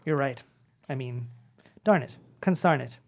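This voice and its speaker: An actor at a table read playing a wry, sarcastic hospital patient with a husky voice. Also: male voice, medium pitch, a little slow, slight background noise, close to an everyday voice. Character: Ray, wry, sarcastic man sick in hospital.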